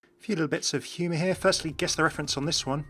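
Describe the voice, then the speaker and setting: A man's voice: on the high side, fast, fairly bright tone, husky. A man hosting a gaming channel, giving enthusiast commentary as he presents game voice clips. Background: background music.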